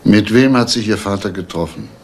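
A low male voice over faint hiss, an actor as a police investigator in a crime radio play. He is measured, formal and probing. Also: a little mellow, natural voice. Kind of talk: German crime radio drama acting.

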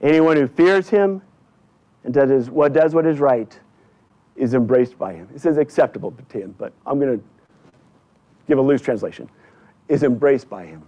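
A male pastor delivering a church sermon in deliberate, emphatic short phrases with repetition. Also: medium pitch, animated, warm tone. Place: indoors in a church at a lectern. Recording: dull, slightly lo-fi church recording.

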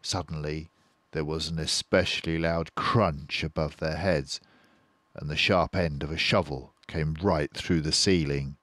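An older man reading a children's book aloud slowly and expressively, close to the mic in a quiet room. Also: rumbling low, no room sound. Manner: slow, expressive, doing all the voices. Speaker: storyteller.

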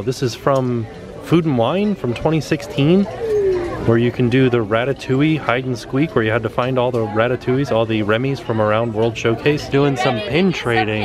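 A man hosting a casual shopping vlog, chatting and reading out prices as he walks through a store. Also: mid-range voice. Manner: chatty, reads prices aloud. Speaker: vlog host. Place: indoor retail store.

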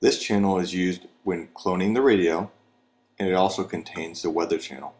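A man reading scripted instructional narration for a training video in a measured, step-by-step, plain manner. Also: deep voice, noisy background.

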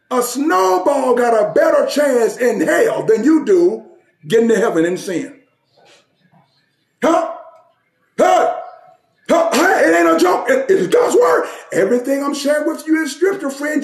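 A man preaching a fiery sermon, often shouting and calling out "Huh?" to the congregation. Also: extremely high pitch, roomy sound.

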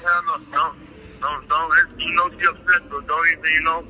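A man's voice: fairly high pitch, flat delivery, moderate pace. A man defending himself in a phone argument on speaker, insistent, repeating himself and cutting in. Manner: insistent, repetitive, interrupting. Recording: speakerphone call with noisy chatter behind.

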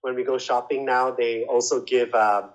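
Guy, normal pitch, explaining stuff casually, lots of stops and repeated words. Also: fairly even intonation, normal speed, low-quality recording. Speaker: interviewee, language school manager. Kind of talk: casual explanatory interview answers.

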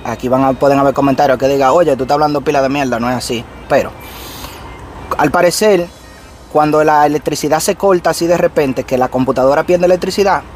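Trucker explaining in his truck cab. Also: a little high. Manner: explains step by step, talks straight to viewers. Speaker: trucker vlogger. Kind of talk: casual how-to vlog. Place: inside a truck cab, parked. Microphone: camera on the dashboard facing him.

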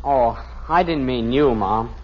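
A man's voice: medium pitch, slow, husky. Whiny, lovesick American teenage boy. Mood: mournful self-pity. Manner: whiny, indignant, mournful. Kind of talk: 1950s American radio sitcom acting. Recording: muffled, faintly noisy vintage radio recording.

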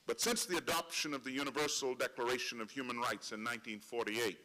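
Solemn, bright-voiced man giving a speech. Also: medium pitch, steady tone, low-quality recording. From Trinidad. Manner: measured, solemn oratory with slow emphatic pauses. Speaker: conference speaker. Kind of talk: prepared speech. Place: large conference hall, seated at a panel table. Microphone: table microphone.

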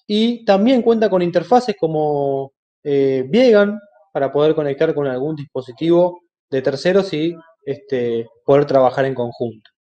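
A middle-aged man with an Argentine Spanish accent explaining products in a webinar, in a halting, explanatory way. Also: fairly high pitch, expressive, mellow, muffled recording. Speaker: webinar presenter. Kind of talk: product presentation over slides.

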